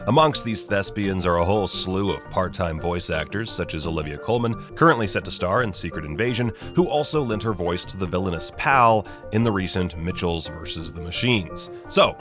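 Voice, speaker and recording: A man narrating a scripted list video as host, with wry, sarcastic, joking asides. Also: lowish voice. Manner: wry, sarcastic, jokey mock-casual delivery.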